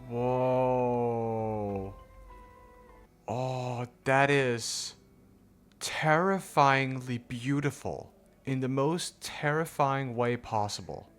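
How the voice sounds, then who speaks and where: Expressive male gamer reacting over faint music. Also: mid-range voice, drawn-out words, clean tone. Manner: expressive, exclamatory, reads game text aloud. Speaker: gaming streamer. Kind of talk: live gameplay reaction commentary.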